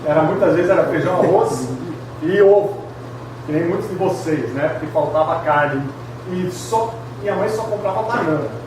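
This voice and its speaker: High-voiced Brazilian pastor in an echoey hall. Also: male voice, moderate pace. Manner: chatty storytelling, frequent tag questions, laughing asides. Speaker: pastor, retreat speaker. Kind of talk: humorous personal testimony sermon. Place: large echoey hall at a retreat. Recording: very echoey hall, distant mic, background noise.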